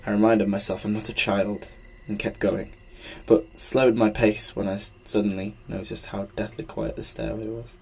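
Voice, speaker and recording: A man's voice: lowish voice, level tone, moderate pace, gravelly, few pauses, breathy edge. A man reading a scripted first-person horror story as narrator. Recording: faint background noise, amateur recording.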